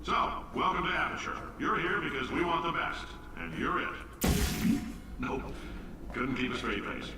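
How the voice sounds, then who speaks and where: A man's voice: on the high side, animated, moderate pace, pauses often, bright, forceful tone. An actor playing a brash, cocky, middle-aged American science company boss in a video game, delivering blunt announcements like a salesman. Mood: brash, dismissive. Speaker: company founder and CEO. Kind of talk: recorded facility announcements, salesman-like speech. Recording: echoey PA announcement, distant, faint noise.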